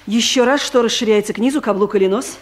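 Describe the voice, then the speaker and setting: A stiff, self-conscious female director in her thirties, played by an actress in a vintage radio comedy. Her voice sits fairly high and she speaks with formal, shy hesitance. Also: fast, a little hiss or hum, natural voice. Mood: embarrassed, hesitant. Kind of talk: 1970s Soviet radio comedy acting.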